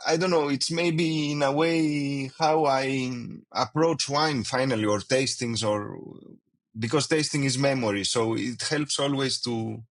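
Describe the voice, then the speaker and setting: A man's voice: mid-range voice, fairly bright tone. Hesitant Greek-accented man. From Greece. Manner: hesitant, many fillers and restarts, non-native phrasing. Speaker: winemaker guest. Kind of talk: casual interview.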